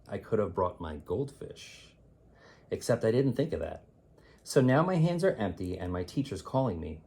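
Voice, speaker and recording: Low-voiced man reading to children, slightly husky. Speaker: teacher reading a picture book aloud. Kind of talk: expressive children's read-aloud of rhyming poems.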